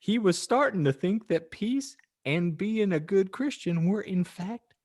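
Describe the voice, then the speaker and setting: A man's voice: high voice, animated, a little slow, a little mellow, voice pushed up. A man voicing a pious, chirpy housewife who gushes earnestly as she reads out her moralizing fan fiction as a storybook. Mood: earnest, dramatic. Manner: gushing, earnest, exaggerated emphasis. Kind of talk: dramatic storybook narration.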